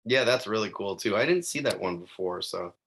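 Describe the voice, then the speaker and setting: Guy hosting a podcast, low voice, keeps laughing and saying stuff is super cool. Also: expressive, fairly quick, vocal fry, a bit bright, slightly rough. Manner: enthusiastic, laughs often, says "super cool". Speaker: podcast host. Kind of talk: casual interview chat.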